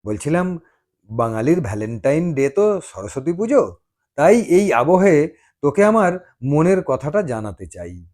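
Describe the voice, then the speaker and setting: A man's voice: a little high, sing-song, darkish tone. Man playing a nervous, lovestruck young man. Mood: nervous, earnest. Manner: hesitant, halting, earnest. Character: nervous, earnest young man shyly confessing love.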